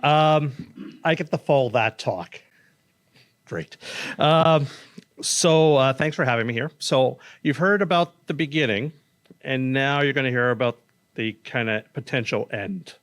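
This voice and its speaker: A man's voice: mid-range voice, a little slow. A man, an oncologist, giving a casual, plain-spoken public talk with slides in a conference room, speaking into a stage microphone. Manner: casual, plain-spoken, says he has a loud voice.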